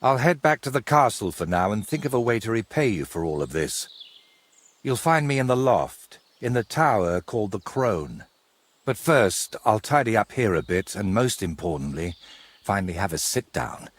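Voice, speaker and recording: Husky, wordy ageing medieval painter. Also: male voice, on the low side, sing-song, normal speed. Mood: grateful, weary. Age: middle-aged. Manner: theatrical, wordy, courteous. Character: wry, wordy ageing medieval painter, robbed but polite. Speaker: video game character. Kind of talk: game cutscene dialogue.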